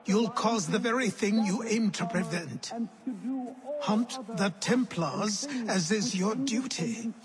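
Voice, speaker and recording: Slow, high-pitched stern mentor. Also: male voice. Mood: stern warning. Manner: formal, measured, admonishing. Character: stern, cautioning male mentor. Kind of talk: dramatic video game acting. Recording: busy crowd behind the voice.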